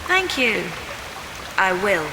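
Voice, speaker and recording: Game heroine: British, self-possessed, dry and wry, in reverberant audio. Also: female voice, mid-range voice, big pitch swings, takes it slowly, over music. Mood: cool probing. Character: cool, wry, self-possessed female adventurer. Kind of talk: video game cutscene acting.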